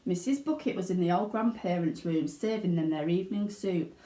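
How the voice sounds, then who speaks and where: A woman giving an expressive narration of a children's storybook. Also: on the low side, brisk. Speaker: teaching assistant reading aloud. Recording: roomy indoor echo.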